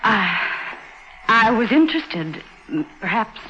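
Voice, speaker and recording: A woman playing a gentle, earnest and curious young woman in an old-time radio drama, speaking politely. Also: fairly high pitch, big pitch swings, slow pace, full character voice. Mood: shy, earnest. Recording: muffled, noisy archival radio recording.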